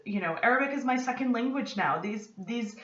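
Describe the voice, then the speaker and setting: A young American woman hosting her podcast, chatting excitedly to camera from her home study and rambling into asides. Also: fairly high pitch, fairly quick. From United States. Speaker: podcast host. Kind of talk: casual chat to camera. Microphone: camera at desk distance. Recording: roomy, slightly distant, dull lo-fi.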